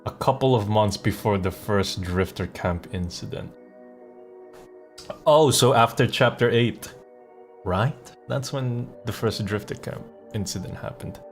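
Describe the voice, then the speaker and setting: Low-voiced man rambling casually on a stream. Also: unhurried, faint music behind. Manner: rambling, amused, repeats words. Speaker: live streamer and voice actor. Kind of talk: casual game livestream commentary.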